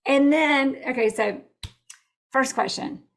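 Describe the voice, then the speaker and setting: A woman's voice: high-pitched, slow. A woman hosting a podcast interview, chatty and upbeat, eager to share favorite quotes and ask her guest questions. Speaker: podcast host. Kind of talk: casual interview chat.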